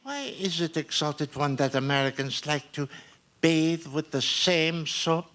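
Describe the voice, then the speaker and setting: Man acting a slow, solemn Tibetan servant. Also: on the high side, fairly bright tone. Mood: solemn, reverent. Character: solemn Tibetan servant, devoted, spouting proverbs to his master. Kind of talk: old-time radio re-enactment.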